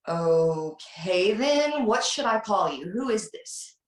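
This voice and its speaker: A woman playing a frightened film star in a slasher table read, halting and pleading, over a video call. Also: medium pitch, animated. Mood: wary, irritated. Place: indoor room on a video call. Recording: roomy, echoing video call audio.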